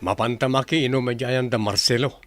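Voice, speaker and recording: A man's voice: mid-range voice, steady tone, fairly quick, dry recording, soft background music, close to an everyday voice. A man playing a stubborn, hard-drinking village husband in a radio drama, dismissive and defensive. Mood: casual. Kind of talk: Ilocano comedy radio play acting.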